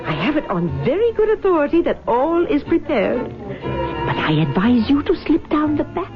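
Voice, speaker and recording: A woman's voice: sits high, sing-song, moderate pace. Sly, composed mother of the bride, theatrical and precise, dull vintage radio sound over music. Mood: composed, reassuring. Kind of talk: old-time radio drama acting. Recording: dull 1970s radio broadcast, music underneath.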